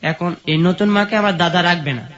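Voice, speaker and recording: A man's voice: sits high, moderate pace, a bit bright. A man with a rural Bangladeshi accent recounts his own life in an interview, telling it plainly step by step with repetition. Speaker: guest telling life story. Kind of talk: personal storytelling in an interview. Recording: dull, muffled lo-fi sound.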